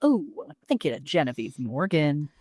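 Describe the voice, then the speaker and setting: A woman's voice: low-pitched, very animated, normal speed, darkish tone, slightly rough, no echo, studio quality. An American woman co-hosting a podcast in casual, comedic chat with an irreverent, slangy way of talking. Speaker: podcast co-host.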